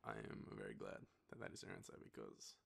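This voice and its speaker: A young Australian man playing an affectionate, nostalgic boyfriend in an intimate roleplay, talking to the listener in a halting, teasing way. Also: rumbling low, sing-song, vocal fry, breathy edge, pitched below the performer's own voice, exaggerated voice. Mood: fond, amused. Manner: hesitant, soft chuckles, halting pauses. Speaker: audio roleplay performer.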